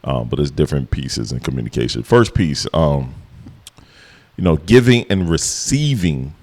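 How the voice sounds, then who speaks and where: A man co-hosting a podcast, with a deep voice that carries, talking in a relaxed, rambling way with lots of fillers. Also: normal speed. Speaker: podcast co-host. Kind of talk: casual chat.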